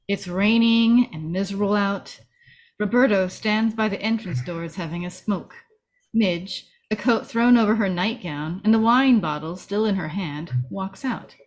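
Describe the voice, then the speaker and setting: A woman's voice: medium pitch, poor audio. A woman reading screenplay stage directions as scripted narration at a table read.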